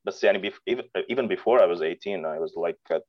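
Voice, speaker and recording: A man's voice: sits low, quick pace. A man in his late twenties, a stand-up comedian, talking in a podcast interview, often hesitating and laughing as he speaks. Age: 20s. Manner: hesitant, many fillers and restarts, laughs a lot. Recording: dull lo-fi sound.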